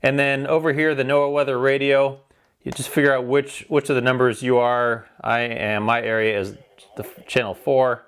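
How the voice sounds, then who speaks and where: A man's voice: medium pitch, little pitch movement, normal speed, a bit bright, smooth. Man giving a casual hands-on product demo. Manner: informal, plain-spoken, explanatory. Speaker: product reviewer.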